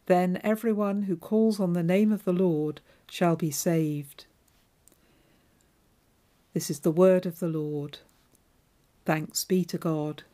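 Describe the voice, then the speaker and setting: A woman delivers a scripture reading and sermon. Her voice sits fairly low, and she speaks in a measured, clear, liturgical way. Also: fairly even intonation, warm tone. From Devon, England. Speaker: preacher.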